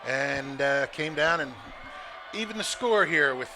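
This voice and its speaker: A man with a fairly high voice gives casual football analysis as a color commentator. His phrasing is conversational and a bit halting, as he explains plays at a live game. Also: lively intonation, a crowd in the background.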